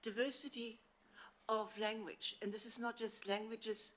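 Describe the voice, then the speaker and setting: A woman's voice: on the high side, little pitch movement. Earnest woman with a non-local accent. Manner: earnest, halting, many fillers. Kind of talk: formal presentation to councillors. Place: council meeting chamber. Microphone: handheld microphone.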